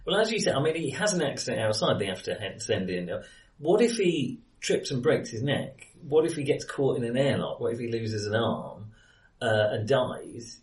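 A man's voice: medium pitch, expressive, normal speed. A man co-hosting a casual film discussion, thinking aloud in a speculative, questioning way. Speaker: podcast co-host. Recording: roomy, distant from the mic.

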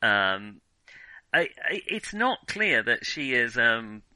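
Bright, halting man discussing films. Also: mid-range voice, sing-song, dry recording, low-quality recording. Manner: halting, thinking aloud with many false starts. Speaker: film podcast host. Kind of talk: casual film discussion.

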